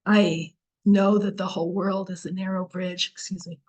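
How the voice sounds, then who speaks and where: A woman's voice: on the low side, flat delivery, normal speed, darkish tone. A woman teaching a Torah class on a video call, explaining earnestly while her voice is going. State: losing her voice.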